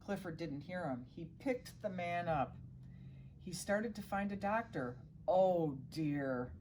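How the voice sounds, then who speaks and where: A woman's voice: on the low side, animated, slow pace, a little mellow, slight background noise. A middle-aged woman reading a children's storybook aloud as a guest reader, now and then stumbling and correcting herself.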